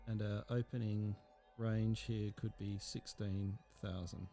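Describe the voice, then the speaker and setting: A man teaching a software tutorial, explaining step by step in an unhurried way and thinking aloud with fillers. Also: fairly low pitch, steady tone, soft background music. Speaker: instructor. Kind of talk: screen-recorded software tutorial.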